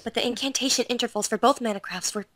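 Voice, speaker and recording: Woman playing a cool hushed young swordswoman, close-sounding. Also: a little high, quick pace, no room sound. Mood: cool, probing. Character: cool, composed young swordswoman, quietly menacing. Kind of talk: visual novel fandub acting.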